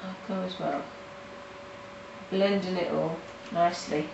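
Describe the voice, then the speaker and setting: A woman with a nasal voice from hay fever, talking halting and casual as she walks through a coloring tutorial at home. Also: lowish voice, fairly even intonation, creaky, dull audio. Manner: halting, with restarts and fillers. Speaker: art tutorial host. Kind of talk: casual step-by-step tutorial narration. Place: home in the countryside. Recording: roomy, mic at a distance, faint noise.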